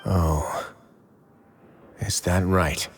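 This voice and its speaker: Low-voiced, composed commanding duke. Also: male voice, fairly even intonation, over music, full character voice. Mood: analytical. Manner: measured, authoritative, formal. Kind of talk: video game voice acting.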